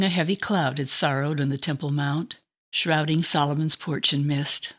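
A woman's voice: sits low, breathy edge. A woman reading a scripted story as dramatic narration. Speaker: guest story reader.

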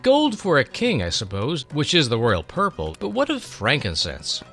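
A man's voice: medium pitch, huge pitch swings, normal speed, fairly bright tone, breathy edge, faint music behind, pitched above the performer's own voice, full character voice. A man playing an earnest young male servant, inquisitive and deferential, in a dramatic storybook reading. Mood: earnest, questioning.

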